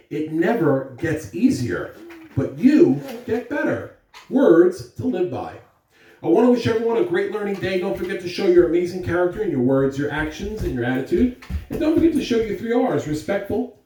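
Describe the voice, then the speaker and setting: A woman speaking to young children in an encouraging, deliberate way, as in school announcements and a read-aloud. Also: fairly low pitch, warm tone. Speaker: school morning announcer and story reader. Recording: echoey room, distant mic, faint noise.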